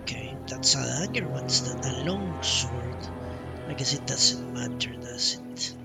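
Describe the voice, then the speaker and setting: A man streams a video game and thinks aloud as he plays. His pace is slow and casual, with short muttered questions to himself. Game music plays clearly behind his voice. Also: medium pitch.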